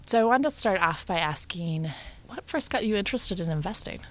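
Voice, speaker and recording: A woman hosting an interview, asking questions attentively with soft murmurs. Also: medium pitch, lively intonation, fairly quick. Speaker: interview host. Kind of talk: conversational interview.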